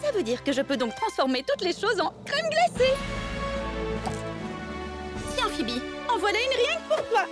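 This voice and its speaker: A woman playing a cool, sensible, playful young girl in a French children's cartoon, measured but expressive. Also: extremely high pitch, over loud music.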